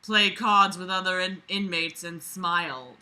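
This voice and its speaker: Mid-pitched voice, very bright and forceful, sarcastic read-along commentary, exasperated, sweary, blunt. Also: fairly even intonation, measured pace. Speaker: reader reacting to a book. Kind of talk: reading aloud with sarcastic running commentary.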